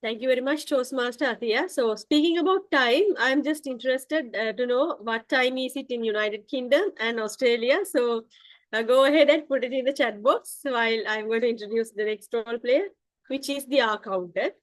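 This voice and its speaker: A woman from Sri Lanka leads an online club meeting as its general evaluator. Her voice sits fairly high and sounds dry and close. She speaks in a friendly way, with some hesitant fillers. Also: normal speed, dry recording.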